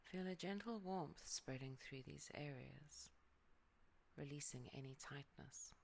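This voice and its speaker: A yoga nidra guide leading a calm relaxation meditation, speaking softly and often sinking into a whisper. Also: medium pitch, a little slow, vocal fry, slight background noise.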